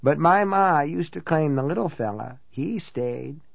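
A man's voice: fairly high pitch, lively intonation, measured pace, vocal fry, no echo, highs cut off, voice pushed up. A man voicing an older small-town housekeeper woman from an audiobook, blunt, gossipy and matter-of-fact. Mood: matter-of-fact, grim relish. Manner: folksy, matter-of-fact storytelling. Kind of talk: audiobook character dialogue.

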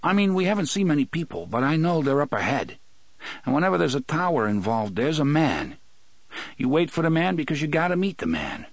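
A man voicing a tearful young man who is angry and pleading, speaking in a quick, strained voice raised above the narrator's own. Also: a little high, big pitch swings, no room sound, voice pushed up. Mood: bitter, pleading. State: crying.

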